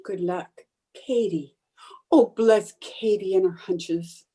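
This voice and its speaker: A woman playing an excitable, scheming housewife in an old-time radio sitcom, with a theatrical, gushing manner. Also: medium pitch, takes it slowly, husky. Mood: relieved, curious. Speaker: amateur radio player.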